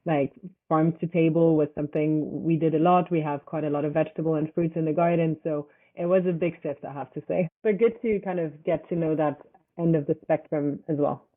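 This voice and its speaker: A young woman from Switzerland, a startup founder, answering interview questions in a loose, halting way full of fillers. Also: low voice, steady tone. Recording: tinny phone-like line.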